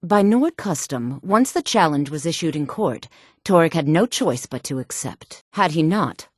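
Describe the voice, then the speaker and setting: A self-assured, sultry woman from a fantasy video game. Her voice sits low and is recorded very dry. She speaks with measured poise and a haughty edge, like someone used to being obeyed. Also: lively intonation, no echo. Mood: grave, regretful. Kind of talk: video game character dialogue.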